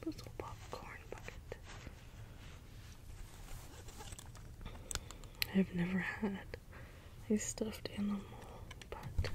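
A gentle caretaker robot, played by a woman in an ASMR role play, speaks in soft breathy whispers. The delivery is flat, slow and halting, measured out phrase by phrase. It sounds literal-minded, naive and eager to help. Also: medium pitch, natural voice. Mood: gently helpful. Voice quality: breathy whisper, mostly unvoiced. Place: indoors, small room, close in front of backdrop. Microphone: close ASMR microphone.

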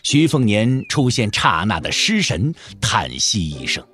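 A man's voice: fairly low pitch, wildly swooping pitch, measured pace, a bit bright. A man narrating a scripted Chinese wuxia audio drama as storyteller.

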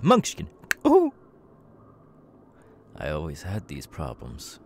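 A man's voice: rumbling low, creaky, background noise, heavily acted. A man playing an unhinged young killer with tics and a stutter, stammering, muttering and yelping out sudden words in an ASMR audio roleplay. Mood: erratic, manic outbursts. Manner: stammering, sudden yelped outbursts, muttered asides.

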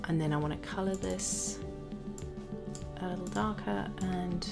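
A woman narrating a step-by-step software tutorial as an instructor, explaining each action as she goes. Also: fairly low pitch, a little slow, gravelly. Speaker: graphic design tutorial instructor. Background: background music.

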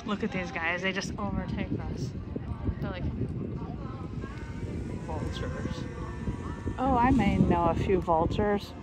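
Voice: mid-range voice, slow, pauses often, very noisy. A vlogger chatting casually and rambling along, irreverent and joking, often slipping into bits of song. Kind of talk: casual, rambling travel vlog chat.

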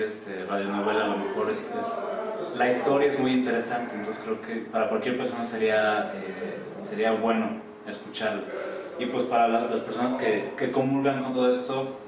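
A man in his thirties with a Mexican accent, answering an interview casually and haltingly. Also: mid-range voice, steady tone, a crowd in the background. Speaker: radio drama actor being interviewed. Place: small office. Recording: echoey, distant, muffled, noisy camera audio.

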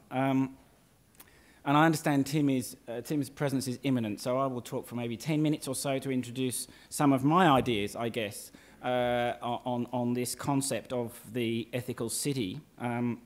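A man in his forties with a husky voice from a cold, giving a conference talk in a lecture theatre with many fillers and restarts. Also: mid-range voice, fairly even intonation, normal speed. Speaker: academic speaker. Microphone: lectern microphone.

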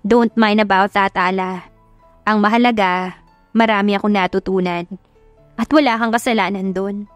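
A woman voicing a spirited young rich woman in an audio love story, brash but softening, mixing English into her speech. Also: mid-range voice, steady tone, normal speed, pauses often, no room sound, faint music behind, lightly acted. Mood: grateful, sincere.

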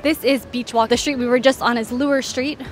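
A woman with a somewhat high voice narrates a walking tour as a travel host. She is conversational and informative, pointing out one sight after another in an orderly way. Faint music plays behind her. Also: fairly even intonation, normal speed. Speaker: travel guide and vlog host.

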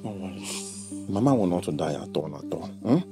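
Soft-hearted nigerian boyfriend, deep but really swoopy voice, coaxing over and over, movie drama. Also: male voice, animated, moderate pace, music in the background. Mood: reassuring. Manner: coaxing, repetitive, punctuated with "eh". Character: doting, soft-hearted boyfriend soothing his crying woman. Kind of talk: film drama dialogue.

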